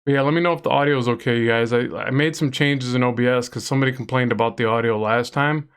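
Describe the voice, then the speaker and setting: A man's voice: medium pitch, steady tone, fast, smooth. A man with a nasal voice chatting casually with viewers while drawing on a live stream, rambling and reading chat aloud. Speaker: comic artist streaming a drawing session.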